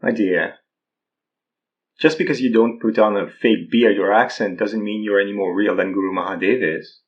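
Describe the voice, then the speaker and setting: A man's voice: on the low side, fairly even intonation, moderate pace, lo-fi sound, close to an everyday voice. An actor in his thirties playing an easygoing, wry man who poses as a guru in an audio drama. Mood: wry, tender. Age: 30s. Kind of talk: naturalistic audio drama acting.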